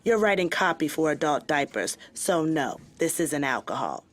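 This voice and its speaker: An actress in her twenties playing a sardonic, quick-witted young office worker in a scripted comedy, slangy and sarcastic. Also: sits low, sing-song. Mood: teasing. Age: 20s. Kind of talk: scripted workplace comedy acting.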